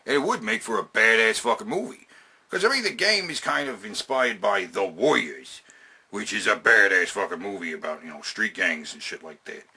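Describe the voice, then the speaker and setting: A man with a slightly low, raspy voice presents a countdown in a casual, opinionated way. His pitch swings in a lively way as he leans on slang and swearing. He often repeats phrases for emphasis. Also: normal speed. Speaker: host of a video game and movie countdown.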